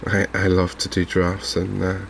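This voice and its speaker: A man hosting a gaming video in a softly spoken ASMR commentary style, unhurried and hesitant, musing to himself. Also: low voice, completely flat delivery, creaky, a little hiss or hum.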